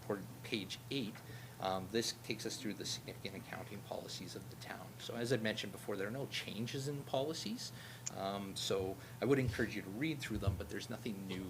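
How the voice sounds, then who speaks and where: A man auditor presenting financial statements to a town council, in a steady, explanatory way that he himself calls droning. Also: fairly quick. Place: council meeting room. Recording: meeting recording with loud background rumble.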